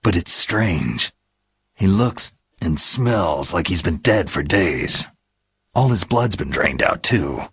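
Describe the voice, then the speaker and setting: Gruff video game commando, heavy rasp, swooping intonation, world-weary, cool-headed. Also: male voice, animated, slow, fairly bright tone, dry recording, heavily acted. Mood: grim, matter-of-fact. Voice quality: raspy, gravelly low voice. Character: gruff, world-weary male special-forces soldier, cool under pressure. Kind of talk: dramatic video game voice acting.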